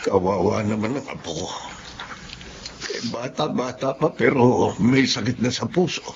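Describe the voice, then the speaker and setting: A man's voice: medium pitch, lively intonation, unhurried, slight background noise. An actor playing a worried old grandfather in a radio drama, speaking in a plain, concerned way. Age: older. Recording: dull lo-fi radio recording.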